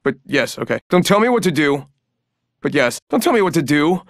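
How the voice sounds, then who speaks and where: An actor playing a cocky, joking trickster in a video game, flippant and stammering, teasing theatrically. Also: male voice, fairly high pitch, very animated, fairly quick, no echo, clean studio sound. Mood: grudging. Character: cocky, joking young male trickster fighter. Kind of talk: video game character voice lines.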